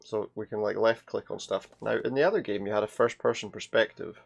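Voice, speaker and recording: A man's voice: fairly low pitch, brisk, creaky, slightly rough, faint music behind. A British man commenting on a game, reading the on-screen text aloud with sarcastic, sweary asides.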